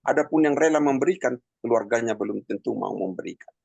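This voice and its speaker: A man in his fifties giving a personal testimony in a halting, conversational way, with many pauses and fillers. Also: medium pitch, expressive, creaky, darkish tone, breathy edge, no echo, muffled recording. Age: 50s. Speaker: testimony speaker.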